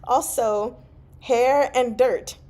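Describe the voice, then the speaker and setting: Slow-paced, expressive woman chatting about books. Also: a little high. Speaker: book discussion vlog host. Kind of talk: casual live book chat.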